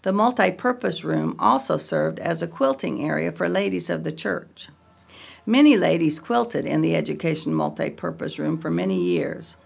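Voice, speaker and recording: A woman reading a scripted history narration in a measured, read-aloud way. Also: fairly low pitch, animated, gravelly.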